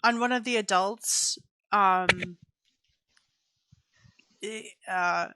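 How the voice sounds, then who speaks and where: A woman's voice: mid-range voice, drawn-out words, background noise. A woman playing a tabletop role-playing game, chatting casually with the others.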